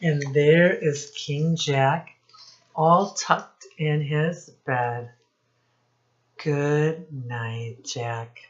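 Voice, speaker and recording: Low-voiced, slow-paced woman teacher reading to children. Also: steady tone, lo-fi sound. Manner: teacherly, explains words and asks questions. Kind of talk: children's read-aloud with questions to listeners.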